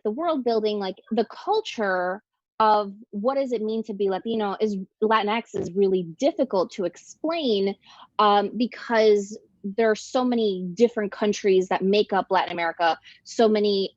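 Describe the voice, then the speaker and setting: A woman's voice: medium pitch, no room sound. A woman author talks through a panel question in a casual, chatty way, restarting sentences and leaning on fillers. Her voice sits mid-range, and the audio is dry and a little dull and lo-fi. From Ecuador. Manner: chatty, self-interrupting, with many fillers and restarts.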